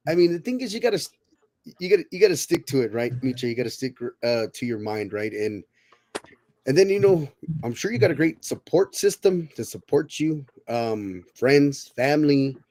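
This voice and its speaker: A man's voice: mid-range voice, darkish tone, slight background noise, low-quality recording. A man in his thirties hosting a casual podcast chat, rambling and often repeating his words. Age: 30s. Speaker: podcast host.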